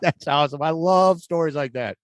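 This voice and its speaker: A man's voice: fairly high pitch, brisk, no echo. A man hosting a podcast in a casual, chatty style. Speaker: podcast host. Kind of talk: casual chat.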